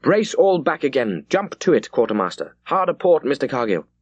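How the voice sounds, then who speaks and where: A man's voice: mid-range voice, sing-song, normal speed, dry recording, muffled recording, pitched above the performer's own voice. A man performs a commanding naval captain from a historical audiobook. He is curt and decisive, throwing out clipped, urgent orders in a dry, close recording. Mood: urgent, screaming. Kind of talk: dramatised audiobook character.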